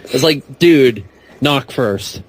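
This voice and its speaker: A man's voice: medium pitch, expressive, extremely slow, a little hiss or hum. A man co-hosting a podcast, chatting casually and joking with loose, profane language. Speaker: podcast co-host. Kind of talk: casual wrestling chat.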